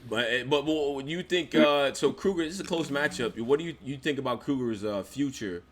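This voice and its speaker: A man co-hosting a casual group podcast, talking fast and loose with lots of swearing and quick interjections. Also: medium pitch. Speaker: podcast co-host. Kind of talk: casual group chat about battle rap.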